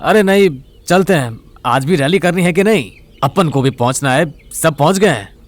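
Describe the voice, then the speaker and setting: An actor playing an eager young college sidekick in an audio drama, casual and slangy. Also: male voice, fairly high pitch, animated, moderate pace, lightly acted. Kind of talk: Hindi audio drama acting.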